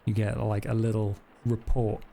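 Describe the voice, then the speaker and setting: A man narrating a tabletop game as game master, improvising in a halting, hesitant way. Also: fairly low pitch, monotone, creaky, dark tone, a little hiss or hum.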